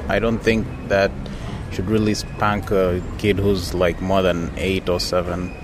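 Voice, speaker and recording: A man's voice: low voice, level tone, measured pace. A young man from Tanzania with a Tanzanian accent, giving casual answers in an outdoor street interview. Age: young adult. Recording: noisy outdoor street with traffic.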